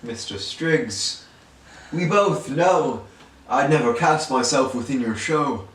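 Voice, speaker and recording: A man playing a cold, sinister figure who questions a visitor in formal, measured speech that slips into rhyme, recorded in a roomy space. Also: medium pitch, lively intonation, fairly bright tone. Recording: roomy room, faint noise.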